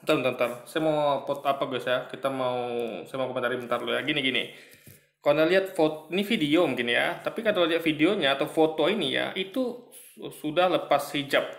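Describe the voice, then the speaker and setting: A man giving a halting, filler-filled religious commentary on a video he is reacting to, often repeating himself and cutting in. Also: a little high, creaky, a bit bright, soft background music. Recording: roomy echo.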